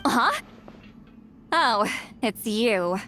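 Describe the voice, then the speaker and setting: Casting: proud, grumpy game shaman, high and very animated, haughty and blunt. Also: very slow, slight background noise, exaggerated voice. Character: proud, grumpy, youthful-sounding granny shaman. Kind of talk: video game voice acting.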